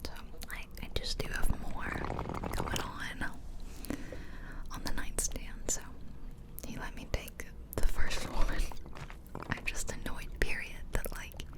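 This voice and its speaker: A woman whispering softly and breathily in a chatty, confiding ASMR style, close to the microphone. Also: takes it slowly, pauses often. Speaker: ASMR video creator. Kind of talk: whispered ASMR casual storytelling. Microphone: close ear-to-ear ASMR microphone.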